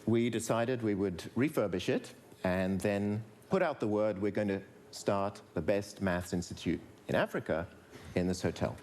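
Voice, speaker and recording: A man's voice: lowish voice, normal speed, darkish tone, a little hiss or hum. A man giving a prepared public talk, earnest and building to emphatic rallying phrases.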